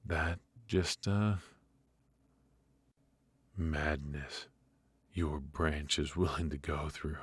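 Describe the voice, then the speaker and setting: An extremely low-pitched mafia boss, acted by a man for an ASMR roleplay, recorded close and dry. He is smug and menacing, teasing his captive with courteous, ruthless words at an unhurried pace. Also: a bit bright, no echo, heavily acted. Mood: smug, probing. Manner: smooth-talking, teasing, deliberate with drawn-out pauses.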